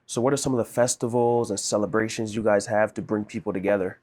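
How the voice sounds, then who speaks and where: A man's voice: lowish voice, fairly even intonation, fast talker, a little mellow, slight background noise. A man hosting an interview, asking leading questions in a reflective way. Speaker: interviewer host.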